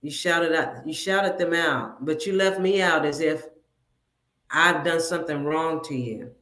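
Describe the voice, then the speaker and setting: A woman calling into a livestream over a video call, asking pointed questions in a deliberate way. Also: low voice, fairly bright tone, reverberant. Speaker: livestream guest. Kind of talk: casual livestream talk.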